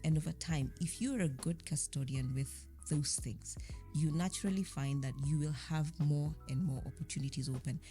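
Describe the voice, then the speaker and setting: A low-voiced woman speaks as a podcast guest in a reflective interview. She explains her points emphatically, often with rhetorical questions and illustrations. Music plays behind her. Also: moderate pace, dark tone. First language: French. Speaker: podcast guest, insurance chief executive.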